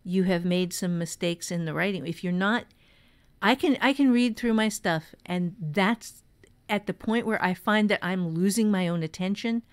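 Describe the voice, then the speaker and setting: A woman's voice: lowish voice, moderate pace, clean tone. Emphatic woman giving writing advice. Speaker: writing teacher and podcast co-host.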